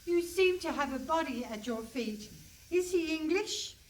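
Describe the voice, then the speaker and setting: A woman's voice: high voice, lively intonation. A woman playing a brusque, condescending older English detective inspector in a comic radio play on stage. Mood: brusque, dry. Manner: brisk, dry, condescending, calling people pet and love. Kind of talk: comic radio play before a live audience. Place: hall stage with a live audience. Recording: distant stage pickup with some noise.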